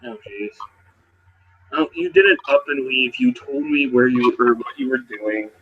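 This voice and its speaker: A man narrating and improvising in character as a role-playing game player, in a halting, conversational way. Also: mid-range voice, big pitch swings, phone-quality sound, slight background noise.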